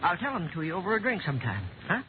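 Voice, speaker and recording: An actor playing a gossipy, chummy informant in a 1950s radio drama. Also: male voice, sits high, big pitch swings, rapid-fire. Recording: faintly noisy, narrow-band old radio recording.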